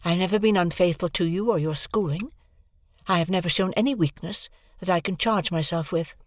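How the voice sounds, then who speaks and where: A woman voicing a proud, composed young woman who speaks in a measured, cool way, in an audiobook reading. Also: on the low side, no echo, studio quality, voice pushed up, lightly acted. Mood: musing. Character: cold proud young woman, calm and reasoning.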